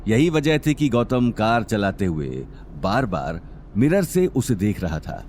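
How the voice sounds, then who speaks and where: A man's voice: medium pitch, darkish tone. A man working as narrator, reading a scripted dramatic story.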